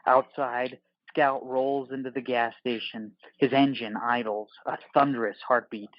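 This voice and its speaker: A man's voice: medium pitch, steady tone, unhurried, dry recording, dull audio. Dry, clipped male narrator. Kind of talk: scripted narration of screenplay directions.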